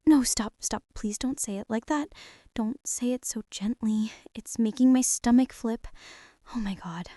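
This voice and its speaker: A woman playing a shy, self-doubting teenage art girl in a girlfriend ASMR roleplay, speaking in a nervous, halting, rambling way. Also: on the high side, dark tone, dry recording, clean studio sound. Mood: stunned, flustered.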